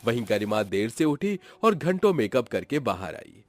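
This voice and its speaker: A man's voice: mid-range voice, very animated, normal speed. A man narrating a scripted moral story in a dramatic storytelling style.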